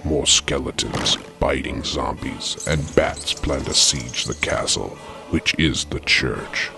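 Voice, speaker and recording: A man narrating a comic audio drama as a storyteller, with a deadpan mock-epic delivery. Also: a bass voice, slow pace, gravelly. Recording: dramatic music behind the voice.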